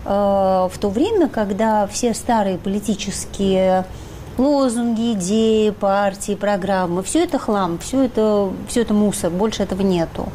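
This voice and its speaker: A woman's voice: mid-range voice, measured pace, warm tone, a little hiss or hum. A woman speaking as a studio guest in a panel discussion, putting her points assertively and arguing back. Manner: assertive, argumentative.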